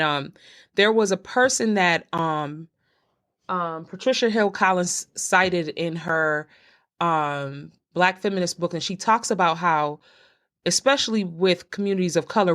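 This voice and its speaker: A woman hosting a podcast, introducing her guests in an earnest, unscripted way with many pauses to think. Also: on the low side, fairly bright tone. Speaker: podcast host. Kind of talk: casual spoken introduction.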